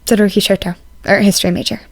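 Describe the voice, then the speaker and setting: A quiet, reserved young male art student, played by a woman in an audio story. His delivery is understated and deadpan at a middle pitch, with a very dry sound. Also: very fast, no echo, natural voice. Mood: reserved, mild.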